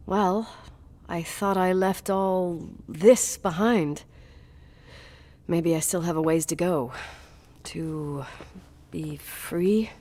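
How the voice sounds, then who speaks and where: A woman's voice: lowish voice, drawn-out words, mellow, no echo, exaggerated voice. An actress playing a strong-willed young woman in a video game, guarded and dryly teasing, haunted by her past. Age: young adult. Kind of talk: naturalistic video game voice acting.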